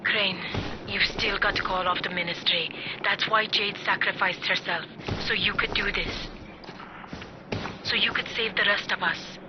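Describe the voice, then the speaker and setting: Earnest ally, muffled. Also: male voice, high-pitched, steady tone, unhurried, noisy background. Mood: pleading. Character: earnest, insistent resistance ally giving instructions. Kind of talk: video game cutscene acting.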